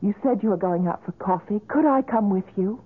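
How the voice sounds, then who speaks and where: A woman's voice: medium pitch, a little fast, husky, close to an everyday voice. An actress playing a polite, persistent young woman, earnest and hesitant, in a 1970s radio drama style. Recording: thin, phone-like old radio recording.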